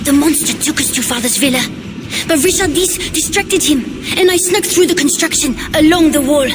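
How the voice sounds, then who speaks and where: Woman as an earnest high-voiced little boy. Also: moderate pace, over music, close to an everyday voice. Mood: breathless relief. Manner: earnest, hesitant. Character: earnest, brave little boy of a royal house. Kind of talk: dramatic video game acting.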